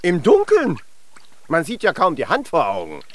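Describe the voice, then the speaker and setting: An actor playing a gruff, skeptical older dwarf father who grumbles and hesitates in a children's radio play. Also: on the high side, wildly swooping pitch, normal speed, slight background noise. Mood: grumbling.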